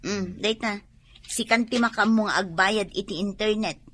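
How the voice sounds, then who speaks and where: A woman's voice: mid-range voice, moderate pace, fairly bright tone, lightly acted. A woman with an Ilocano accent acting an assertive, fed-up working wife in a radio drama. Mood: bossy.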